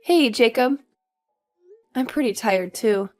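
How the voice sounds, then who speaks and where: A woman acting as a young newlywed wife in an audio story drama, with a fairly high voice. She is patient, dutiful and a little weary, talking politely and evenly over music. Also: slow pace, a little mellow. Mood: tired, accommodating.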